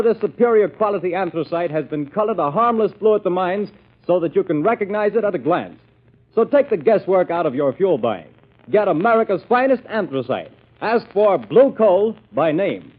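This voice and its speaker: A male radio announcer with a high voice reads in an emphatic 1940s style. The delivery is formal and declamatory. It sounds thin and tinny, like an old broadcast. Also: expressive, moderate pace.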